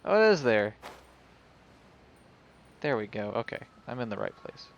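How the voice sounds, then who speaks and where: A man's voice: medium pitch, sing-song, unhurried, creaky, slight background noise. A man streaming a video game, giving casual live commentary and thinking aloud as if talking to himself. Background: video game music.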